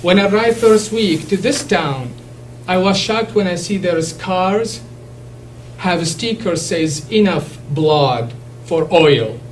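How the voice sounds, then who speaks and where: Iraqi-accented man, native Arabic speaker, addressing a class, fairly high voice, earnest and emphatic, recorded from a distance with faint hum. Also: animated. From Iraq. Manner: earnest, emphatic, halting with restarts. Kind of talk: impassioned public speech. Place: classroom or lecture hall.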